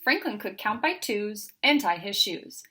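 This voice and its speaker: A woman narrates a children's picture book aloud. Her voice is bright and forward, at an average pitch for a woman, in the style of a read-aloud storyteller. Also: very animated, unhurried, husky.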